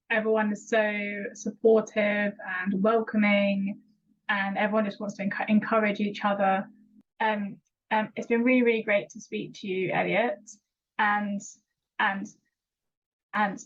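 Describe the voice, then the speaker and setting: Stammering young woman interviewer. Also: medium pitch. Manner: stammers, with repeated words and frequent fillers. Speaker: interviewer and regular vlogger. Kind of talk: supportive interview chat. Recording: dull lo-fi recording.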